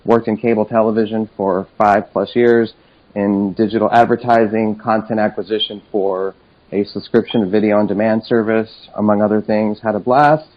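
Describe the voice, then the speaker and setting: A man's voice: on the low side, level tone, moderate pace, lo-fi sound. A man in his forties, from Chile, a career coach guest telling his story upbeat and enthusiastically. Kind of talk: interview conversation.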